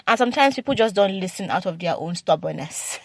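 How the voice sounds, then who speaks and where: Fast-talking woman with a slight stutter. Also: medium pitch, expressive, no echo. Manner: fast talker with repeated words and restarts. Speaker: podcast host. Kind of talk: solo advice podcast talk.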